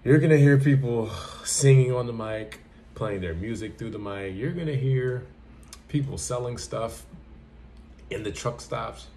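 A man's voice: mid-range voice, a little slow, warm tone, a little hiss or hum. A young man talking casually and bluntly to camera, giving advice in a repetitive, conversational way. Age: young adult. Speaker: trucker giving advice in a vlog. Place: small plain indoor room.

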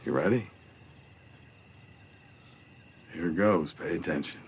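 An actor with an American accent playing a smug, cruel middle-aged gang leader in a TV drama, drawling and mocking with deliberate pauses. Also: male voice, deep voice. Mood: smug, threatening. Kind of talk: television drama villain monologue. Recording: TV drama clip with noticeable background noise.

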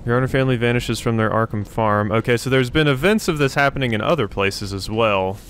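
A man's voice: medium pitch, a little fast, fairly bright tone, slight background noise. A man giving casual let's play commentary, thinking aloud as he goes. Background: game ambience.

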